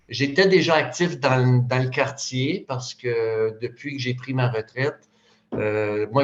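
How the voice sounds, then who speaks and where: A man of sixty-five with a Quebec French accent, speaking casually at a community panel, hesitant with many fillers and restarts. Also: mid-range voice. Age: 60s. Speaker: panel speaker, retired psychoeducator. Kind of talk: casual public talk. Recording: roomy, dull lo-fi sound.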